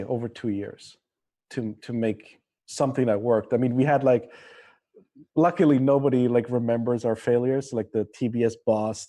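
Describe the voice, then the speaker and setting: A man's voice: medium pitch, warm tone. A man who grew up in Singapore, with a somewhat American accent, talking informally and bluntly as a guest in a casual livestream interview, rambling as he goes. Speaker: interview guest, drone company representative.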